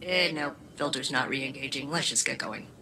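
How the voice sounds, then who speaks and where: A woman's voice: extremely low pitch, normal speed, noisy background. An actress playing a sardonic, glum female spaceship AI in a video game, dry and hesitant, with a synthetic filter. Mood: dry sincerity.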